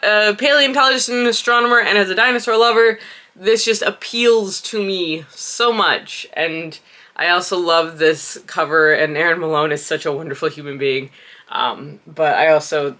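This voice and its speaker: Woman host with a head cold, stuffy and sniffly, bright and forward tone, casual book talk. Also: mid-range voice. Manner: chatty, thinks aloud with small self-corrections. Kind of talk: casual book chat to camera.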